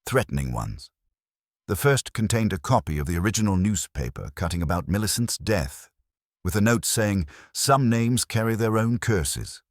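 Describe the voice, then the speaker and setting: A man's voice: lowish voice, big pitch swings, moderate pace, dry recording, studio quality, voice pushed up. A man voicing a worried, protective husband with a tight, concerned manner in a mystery story. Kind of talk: scripted mystery story dialogue.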